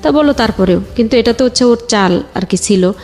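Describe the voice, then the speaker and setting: Woman, Bangladeshi accent, higher pitch, conversational storytelling, halting delivery, music behind. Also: animated, a little mellow. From Bagerhat area, Bangladesh. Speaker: guest telling own life story.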